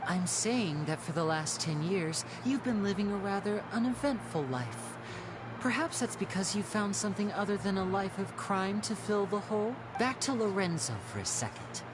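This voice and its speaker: A woman acting a suave, calculating young male stage magician in a video game, polite, measured and theatrical. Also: medium pitch, lively intonation, background noise, close to an everyday voice. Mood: solemn, probing.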